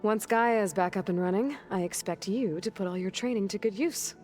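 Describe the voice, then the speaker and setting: A woman's voice: medium pitch, brisk, a little mellow, close to an everyday voice. A woman playing a determined, wry young hunter heroine in a video game, speaking in a matter-of-fact, dry way. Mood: determined, friendly. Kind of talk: video game cutscene acting. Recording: background music under the voice.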